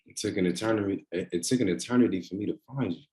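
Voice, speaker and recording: Casting: male actor for charming boyfriend, early thirties, slightly low pitch, relaxed dry teasing. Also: racing, natural voice. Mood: romantic. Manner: casual, dryly teasing. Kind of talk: screenplay table read. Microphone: video call.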